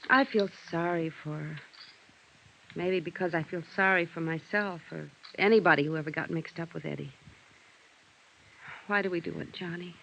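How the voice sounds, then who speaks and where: An actress playing a weary, melancholy widow in a vintage radio drama, speaking in a hushed, wistful way. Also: female voice, fairly low pitch, normal speed, vocal fry, long pauses. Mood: wistful, bitter. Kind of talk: 1950s radio drama acting. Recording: dull, noisy old radio recording.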